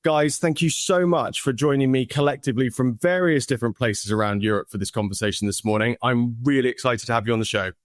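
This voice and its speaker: A male podcast host welcomes his guests with lots of enthusiasm, often doubling back on his own words mid-question. His voice sits at an ordinary middle pitch. The sound is close-miked and dry, with no room echo. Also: fast, few pauses. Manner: effusive, with repeated words and restarts. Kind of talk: enthusiastic interview hosting.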